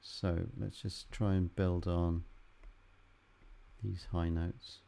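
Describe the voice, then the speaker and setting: A man's voice: sits low, level tone, takes it slowly, creaky, warm tone. A man giving a casual tutorial as a music production instructor, with music behind him. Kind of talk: casual software tutorial narration. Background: guitar and vocal music track.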